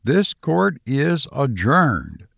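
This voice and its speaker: A man's voice: medium pitch, huge pitch swings, very slow, creaky, fairly bright tone, slightly rough, dry recording, studio quality, exaggerated voice. A man voicing a formal, impartial judge in an audiobook, giving jury instructions with procedural authority. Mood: firm instruction.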